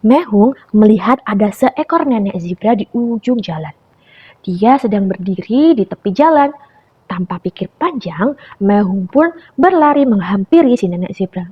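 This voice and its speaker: A young woman telling a story to preschool children in an animated way, often turning to her listeners. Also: medium pitch, normal speed, breathy edge. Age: young adult. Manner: animated, calls listeners "friends". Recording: dull lo-fi recording.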